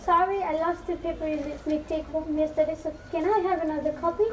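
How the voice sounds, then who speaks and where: A young woman on stage playing an anxious, apologetic schoolgirl who struggles to read, halting and pleading. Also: high voice, steady tone, recorded from a distance, lightly acted. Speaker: student actor in a school play. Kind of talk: amateur stage play acting. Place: echoey hall, distant microphone. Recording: echoey, noisy stage recording from afar.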